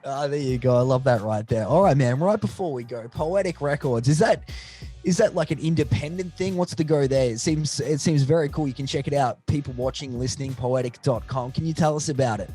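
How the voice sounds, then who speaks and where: A man's voice: medium pitch, a little fast, mellow, soft background music. A man hosting a casual interview over a video call, upbeat and chatty with stock phrases. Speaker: interview host.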